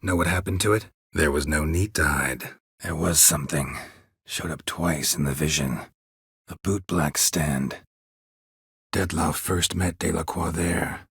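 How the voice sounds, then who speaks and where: Casting: stoic male monster hunter for fantasy game; bottom-of-range gravelly husk, gruff, terse, deadpan. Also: unhurried, no room sound, clean studio sound, heavily acted. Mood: flat, observant. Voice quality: gravelly, husky low voice. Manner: deadpan, clipped, laconic. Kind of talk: fantasy video game voice acting.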